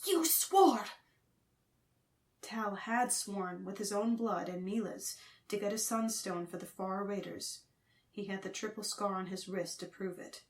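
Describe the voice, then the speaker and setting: A woman's voice: mid-range voice, steady tone, normal speed. A woman reading fiction as an audiobook narrator, in a scripted, dramatic storytelling style.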